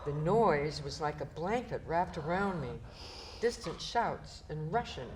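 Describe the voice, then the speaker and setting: Woman, low voice, steady reading aloud, noisy room recording. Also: a little slow. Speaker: audience volunteer reading a ghost's story.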